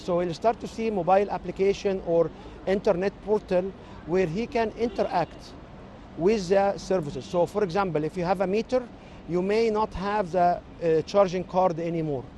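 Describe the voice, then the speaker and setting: A man with an Egyptian accent and native Arabic, giving measured, explanatory interview answers as a technology expert. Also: sits high, warm tone, a little hiss or hum.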